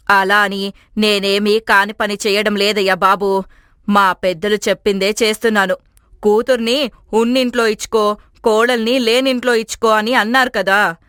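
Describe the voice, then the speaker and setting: A woman's voice: mid-range voice, bright, forceful tone, clear, resonant tone, no room sound, clean studio sound, close to an everyday voice. A woman voicing a strong-willed, persuasive middle-aged mother-in-law in a family story cartoon.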